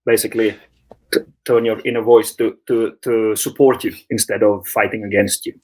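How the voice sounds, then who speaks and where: A man's voice: lowish voice, little pitch movement, moderate pace, low-quality recording. A man from Finland, a sleep coach, answering interview questions in a hesitant way, with many fillers, restarts and laughs.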